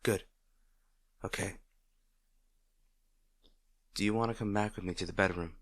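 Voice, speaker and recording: Guy acting out a gentle boyfriend comforting his partner, lowish voice, super tender, dry sound, roleplay audio thing. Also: fairly even intonation, unhurried, no echo. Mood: tender, soothing. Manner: tender, soothing, reassuring.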